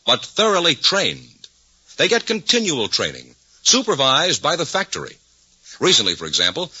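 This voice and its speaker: A man's voice: mid-range voice, bright, forceful tone, slightly rough, no echo, highs cut off. A man reading a scripted 1950s radio advertisement with an emphatic, polished sales delivery on a vintage recording. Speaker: commercial announcer.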